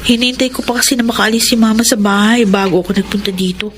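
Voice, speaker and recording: An actress playing a lovestruck, defiant young student daughter in a Filipino radio drama. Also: female voice, a little high, fairly even intonation, fast talker, a crowd in the background, close to an everyday voice. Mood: hesitant, coy. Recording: noisy radio drama broadcast.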